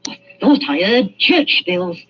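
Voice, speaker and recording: A woman's voice: mid-range voice, very animated, slow, slight background noise. An actress playing a scheming old woman who deals in stolen corpses, scolding and wheedling in turn. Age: older. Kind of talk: 1940s radio acting. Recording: muffled old radio recording.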